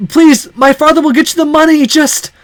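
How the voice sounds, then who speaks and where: A man's voice: very high voice, steady tone, moderate pace, no echo, voice pushed up. A man voicing a frightened, pleading teenage girl in a visual novel, desperate in manner.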